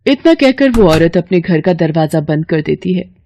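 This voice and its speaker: A woman's voice: on the low side, animated, moderate pace, warm tone, slight background noise. A woman storyteller reading scripted narration for a moral bedtime story.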